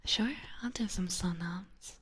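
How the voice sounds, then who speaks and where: A young woman with a Hungarian accent, whispering gently into a binaural mic as she answers chat on an ASMR stream. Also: lowish voice, slow, husky. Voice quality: soft whisper.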